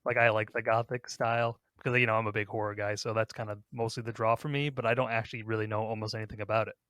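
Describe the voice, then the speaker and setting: A man's voice: on the low side, flat delivery, quick pace, fairly bright tone, no room sound. A man hosting a podcast, talking casually as he leads a countdown discussion. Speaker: podcast host.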